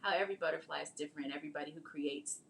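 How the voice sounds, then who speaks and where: A woman host with a creaky, slightly low voice chats casually and recounts things as they come to her. A faint rumbling noise sits behind her. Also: very fast, a bit bright. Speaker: host, marketing strategist and children's book author. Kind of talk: casual interview chat with storytelling.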